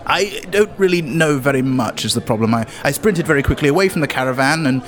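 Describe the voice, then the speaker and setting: Guy playing a nervous old goblin merchant in a tabletop game, halting n pleading, pitch moves around a lot. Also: expressive, a bit bright, natural voice. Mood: anxious, earnest. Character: rattled old goblin trader, earnest and apologetic.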